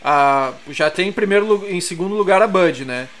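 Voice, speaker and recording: A man's voice: sits high, lively intonation, moderate pace, a crowd in the background. A man with a Porto Alegre accent, co-hosting a podcast and chatting casually over beer, eagerly running through lists of brands. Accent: Porto Alegre Portuguese. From southern Brazil. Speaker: podcast co-host.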